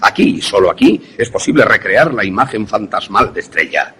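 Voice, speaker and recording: A man playing an erudite, self-assured scientist in a suspense radio drama, explaining things formally and with deliberate pauses. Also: lowish voice, lively intonation, sharp tone, slightly rough, full character voice. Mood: proud, lecturing. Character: erudite, self-assured male scientist who creates ghost images.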